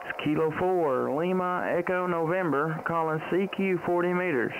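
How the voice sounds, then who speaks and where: A middle-aged man hosting a casual amateur radio livestream, speaking close to a boom microphone. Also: normal speed. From Tennessee, United States. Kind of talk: casual ham radio chat and calling. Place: small indoor home radio room. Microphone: close boom microphone with headset. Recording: dull lo-fi sound, faint radio noise.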